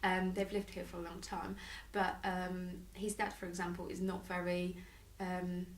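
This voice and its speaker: A woman's voice: fairly low pitch, flat delivery, unhurried. A Polish woman, a parent being interviewed, answering casually with many hesitations and restarts. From Poland. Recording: echoey room, mic at a distance, faint noise.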